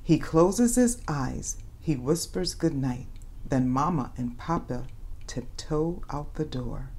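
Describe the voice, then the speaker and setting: A woman with a slightly low voice reads a picture book aloud for young children. Her delivery is very animated, with wide swings in pitch. She plays up the story as a host speaking to little listeners. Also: slow, husky. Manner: animated, dramatizes questions and exclamations for young children.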